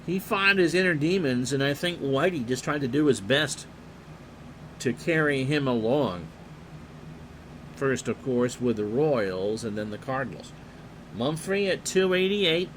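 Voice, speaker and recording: A man calling a replayed baseball game play-by-play in a calm, unhurried way, reading player stats and chatting with viewers. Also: mid-range voice, slight background noise, low-quality recording. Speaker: play-by-play announcer and streamer.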